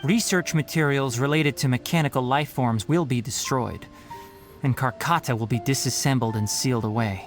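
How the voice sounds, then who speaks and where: Guy voicing a young scholarly forest ranger in a game, calm, articulate, matter-of-fact, clear music underneath. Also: mid-range voice, normal speed, close to an everyday voice. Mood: firm. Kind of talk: video game voice acting.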